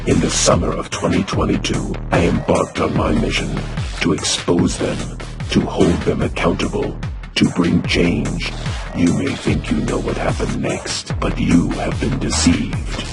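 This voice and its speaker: An actor playing a grim masked vigilante, delivering a slow, solemn, menacing film-trailer manifesto in a breathy rasp. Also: male voice, very deep voice, little pitch movement. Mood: grim, accusing. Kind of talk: scripted dramatic film trailer monologue. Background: loud music.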